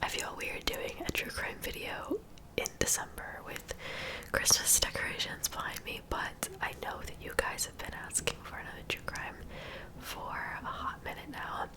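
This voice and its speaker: A woman hosting an ASMR true crime video in a soft, breathy whisper, chatting casually to viewers as she narrates. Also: moderate pace, rough recording. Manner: casual, conversational asides to viewers.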